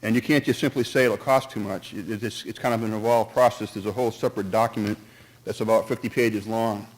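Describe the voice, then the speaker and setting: A man's voice: lowish voice, steady tone, fairly quick, low-quality recording. A man speaking at a town meeting, earnest and cautious, who stumbles, restarts and jumps between points while giving his opinion. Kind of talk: public comment at a town meeting.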